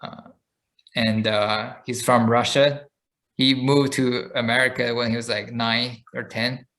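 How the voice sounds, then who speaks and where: A man's voice: mid-range voice, flat delivery, a little slow, pushed and bright. A man co-hosting a podcast, chatting casually and telling a long story with frequent fillers and restarts. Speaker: podcast co-host. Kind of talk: casual chat.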